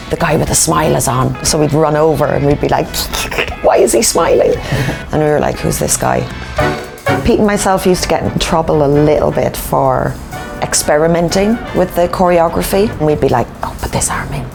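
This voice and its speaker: A woman with an Irish accent tells stories in a relaxed interview, often voicing what other people said. Her pitch is on the low side with plenty of vocal fry, and music plays clearly behind her. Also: normal speed. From Ireland. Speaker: Irish dancer in a comedy circus show. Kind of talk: casual documentary interview storytelling.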